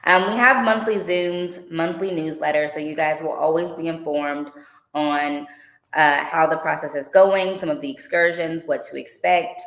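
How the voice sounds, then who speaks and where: A woman's voice: low voice, fairly even intonation, moderate pace, a bit bright. A woman hosting a casual livestream Q and A about her tours, chatty and upbeat, with frequent fillers. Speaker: tour organizer and boutique owner. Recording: echoey room.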